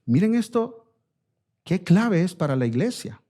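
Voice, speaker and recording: Latin American pastor preaching, higher-pitched voice. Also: male voice, sing-song, measured pace, mellow. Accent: Latin American Spanish. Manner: emphatic, repeats phrases, asks rhetorical questions. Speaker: pastor preaching to his church. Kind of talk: expository sermon with direct exhortation.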